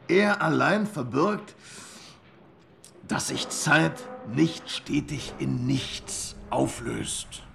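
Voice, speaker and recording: An actor playing an earnest intellectual, lecturing in a measured, pedantic manner in a radio play. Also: male voice, fairly high pitch, lively intonation, vocal fry, background noise, heavily acted.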